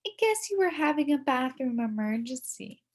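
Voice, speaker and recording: A woman's voice: high voice, sing-song, moderate pace, pitched above the performer's own voice, full character voice. A woman voicing a prissy know-it-all schoolgirl in a storybook, prim and taunting.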